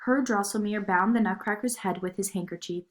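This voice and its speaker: A woman narrating a children's story in an expressive read-aloud style. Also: mid-range voice, fairly quick.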